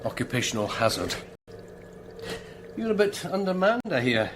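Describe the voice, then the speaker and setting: An actor playing a wry senior Scottish forensic pathologist in a radio drama, speaking in a measured, clinical way. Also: male voice, on the high side, sing-song. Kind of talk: naturalistic radio drama acting. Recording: clearly noisy background.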